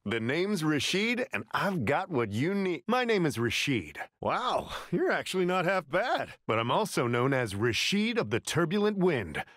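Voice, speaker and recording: A cocky young fighter for a video game, breezy and cheerful. His voice sits on the high side and swings up and down a lot. He talks with showy, slangy confidence in a very dry, close studio sound. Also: big pitch swings, a little slow, hardly any pauses, a bit bright, no room sound, heavily acted. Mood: cheerful, confident. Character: cheerful, cocky young male martial-arts fighter and vlogger.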